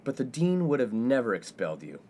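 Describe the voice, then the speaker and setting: A man's voice: medium pitch, big pitch swings, a little fast, a little mellow. A man playing a brash, self-absorbed college playboy in a comedic radio play, breezy and self-important. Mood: smooth, self-satisfied. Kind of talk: comedic 1950s-set radio play acting. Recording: faint background noise.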